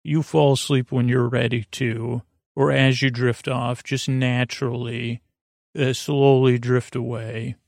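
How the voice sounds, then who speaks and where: A man hosting a sleep podcast with a meandering, halting bedtime monologue, often trailing off mid-thought in a creaky, gravelly voice. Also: mid-range voice, level tone, a little mellow, no room sound, studio quality. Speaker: podcast host. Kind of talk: rambling bedtime monologue to help listeners sleep.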